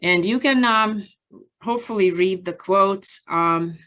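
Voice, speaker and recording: A woman researcher giving an academic presentation from slides in a measured, halting, explanatory way with frequent pauses. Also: medium pitch, fairly bright tone. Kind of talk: conference research presentation, partly improvised from slides.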